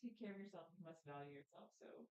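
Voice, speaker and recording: Chatty woman in her thirties, low voice. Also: lively intonation, fast talker, darkish tone, roomy sound. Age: 30s. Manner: chatty, upbeat, lots of fillers. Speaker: panel guest. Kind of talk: casual panel chat. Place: studio.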